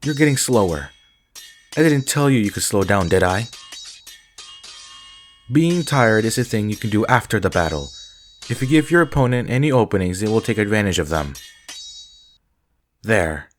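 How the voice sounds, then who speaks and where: Casting: male actor as relentless vampire swordmaster, lower register, clipped orders, formal and severe, for audio drama. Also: animated, moderate pace, long pauses, faint music behind, lightly acted. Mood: stern, commanding. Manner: curt, commanding, formal. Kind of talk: dramatic audio roleplay acting.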